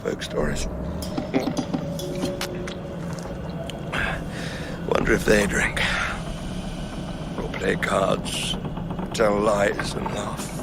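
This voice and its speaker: A man's voice: lowish voice, takes it slowly, vocal fry, heavy background noise. An actor in a radio drama playing a despairing, haunted male survivor of an alien invasion, with an anguished, stammering, theatrical delivery. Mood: bleak, brooding. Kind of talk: dramatic radio play acting.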